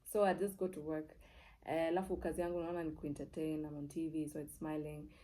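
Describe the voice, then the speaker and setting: Low, mellow-voiced young Kenyan woman storyteller. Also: fast talker, poor audio. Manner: expressive, repeats words for emphasis, switches between English and Swahili. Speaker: TV presenter. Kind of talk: personal storytelling, casual and candid.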